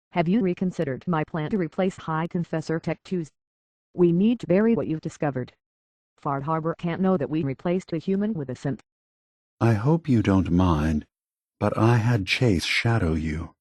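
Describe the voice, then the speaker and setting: An actor playing a weary, guilt-burdened synth leader in a video game, speaking softly in a measured, grave, philosophical way. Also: male voice, medium pitch, no room sound, clean studio sound. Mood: resolute, then confessional. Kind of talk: scripted video game character dialogue.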